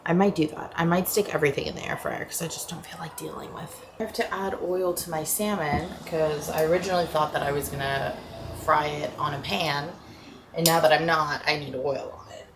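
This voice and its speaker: A woman vlogger with an American accent, chatting casually in her home kitchen in a sleepy, relaxed voice. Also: low-pitched, fairly quick, background noise. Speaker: lifestyle vlogger. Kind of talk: casual vlog chat.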